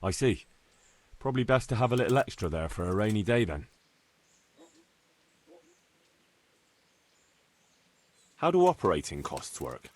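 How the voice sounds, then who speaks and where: An actor playing a young medieval bailiff in a video game, polite and inquisitive, speaking in a conversational way. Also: male voice, lowish voice, big pitch swings, fast, darkish tone. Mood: agreeable, curious. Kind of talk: video game cutscene dialogue.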